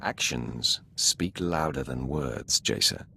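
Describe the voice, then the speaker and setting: Game role: male Sith master, controlled and authoritative, rock-bottom pitch with creaky grit, noisy ambience. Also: slow pace, bright, forceful tone, exaggerated voice. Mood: cool, seductive. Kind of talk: video game voice acting.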